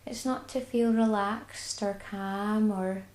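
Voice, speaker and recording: A woman with a Scottish accent guiding a body scan meditation, speaking slowly and soothingly. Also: mid-range voice, fairly even intonation, roomy sound. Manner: slow, soft and soothing. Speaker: mindfulness guide.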